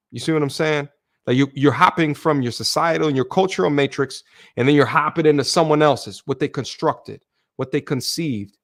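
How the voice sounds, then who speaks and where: A man hosts a livestream discussion, reading out viewer comments and reflecting on them at a measured pace. His voice sounds dry and close, with no room around it. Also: fairly high pitch, vocal fry, fairly bright tone, no echo. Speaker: host.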